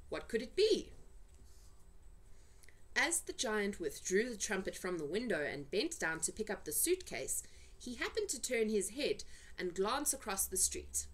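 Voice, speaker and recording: A woman's voice: mid-range voice, big pitch swings, a little slow. A woman reading a children's story aloud, with dramatic, suspenseful delivery and emphasized words. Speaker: amateur storyteller reading for family. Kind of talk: expressive children's storybook narration.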